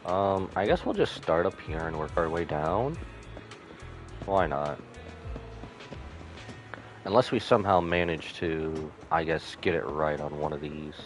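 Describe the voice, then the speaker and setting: A man with a very deep voice and lively intonation streams a game. He casually reads text aloud and mutters asides over game music. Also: unhurried, long pauses. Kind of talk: live game commentary, reading dialogue and menus aloud.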